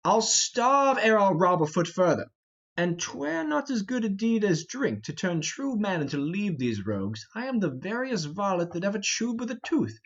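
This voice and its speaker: A man's voice: high voice, big pitch swings, normal speed, a bit bright, muffled recording, exaggerated voice. A man voicing a blustering, grumbling, fat older knight and thief in an amateur reading of a Shakespeare play. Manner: exclamatory, complaining.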